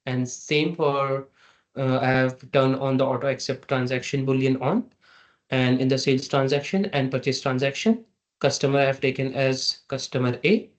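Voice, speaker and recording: A man giving a halting, step-by-step software tutorial as a trainer over an online meeting. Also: medium pitch. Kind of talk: screen-share software tutorial. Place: online meeting with screen share. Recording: dull, lo-fi online meeting audio.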